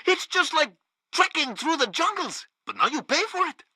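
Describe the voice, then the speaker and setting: An actor playing a bumbling, pompous government propagandist who co-hosts a comic radio news show, excitable and theatrical. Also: male voice, very high voice, normal speed, no room sound, clean studio sound, full character voice. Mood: salesy, upbeat. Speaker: radio co-host and announcer.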